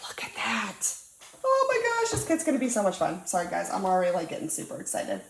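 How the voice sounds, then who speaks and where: A young woman with a cold-stuffed, slightly nasal voice chats cheerfully, full of ums and uhs. She sounds relaxed and friendly at a crafting table. Her voice bounces off a roomy space. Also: medium pitch, fast talker, creaky, noisy background. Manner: bubbly, chatty, lots of ums and uhs. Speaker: diamond painting channel host. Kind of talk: casual crafting chat. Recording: roomy echo.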